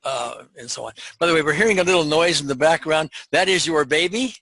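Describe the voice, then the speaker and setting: An older man hosting a therapy session, asking measured, gently probing questions. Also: on the high side, low-quality recording. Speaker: EFT practitioner hosting a session. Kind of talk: calm therapeutic interview.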